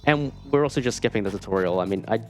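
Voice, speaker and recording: A man's voice: lowish voice, expressive, fast talker. Man commentating a game, music behind. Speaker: speedrunner playing and commentating. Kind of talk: live gameplay commentary, casual. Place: gaming marathon event, streamed. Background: video game music.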